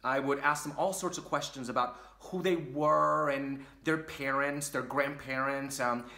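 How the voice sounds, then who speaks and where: A man in his thirties from Puerto Rico, telling a story to camera in a casual, animated way while gesturing a lot. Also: mid-range voice, unhurried, creaky, reverberant. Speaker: vlogger. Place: small dim room with curtain behind.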